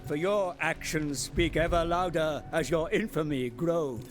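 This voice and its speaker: An actor playing an eerie, deferential undead pirate adviser in a video game, speaking formally and portentously. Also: male voice, fairly high pitch, measured pace, faint music behind, natural voice. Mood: solemn, portentous. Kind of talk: theatrical scripted game voice acting.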